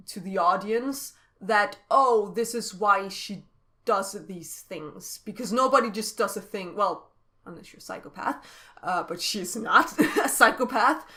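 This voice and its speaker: Danish woman, non-native English, bright chatty co-host, laughs a lot. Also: mid-range voice. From Denmark. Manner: chatty, laughs often, explains at length. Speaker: podcast co-host. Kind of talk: casual chat.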